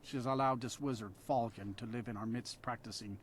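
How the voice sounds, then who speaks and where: A man's voice: mid-range voice, fairly even intonation, fairly quick, slight background noise, natural voice. An actor playing a disgruntled, suspicious townsman in a fantasy game, grumbling bitterly. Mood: bitter, worried. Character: disgruntled, suspicious male townsman fed up with his ruler. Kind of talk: dramatic game dialogue.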